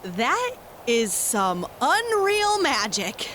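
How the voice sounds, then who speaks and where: A woman playing a brash, excitable female sidekick in an audio drama, with casual delivery. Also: sits high, very slow, slight background noise, heavily acted. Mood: awed.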